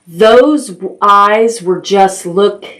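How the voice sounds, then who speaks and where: A woman's voice: medium pitch, very slow, a bit bright, a little hiss or hum. A woman hosting a children's storytime, reading aloud expressively and talking simply and directly to young listeners. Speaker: library storytime host. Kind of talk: children's storytime with action songs and read-aloud.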